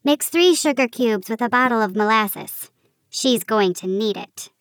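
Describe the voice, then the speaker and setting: A woman voicing a practical, calm Christmas elf who speaks briskly and matter-of-factly. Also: on the high side, no room sound, pitched above the performer's own voice.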